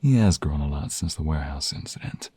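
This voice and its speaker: Low, husky, smitten mobster husband acted by a man. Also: lively intonation, mellow, no room sound, full character voice. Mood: warm, reflective. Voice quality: breathy, husky. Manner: intimate, drawn-out, playful delivery with sighs and murmurs. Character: affectionate, teasing young father and mobster husband, smitten romantic. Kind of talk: ASMR romantic roleplay acting.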